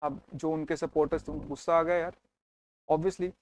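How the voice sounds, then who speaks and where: A man's voice: a little high, steady tone, moderate pace. A man teaching an exam revision lesson, telling the history as a story and checking in with his students as he goes. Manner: storytelling, mixes Hindi and English, checks in with students.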